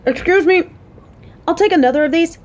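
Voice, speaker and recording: A woman's voice: extremely high pitch, brisk. A woman playing a caring, playful coworker on a date in an audio roleplay, talking to the listener in a motherly, teasing, chatty way.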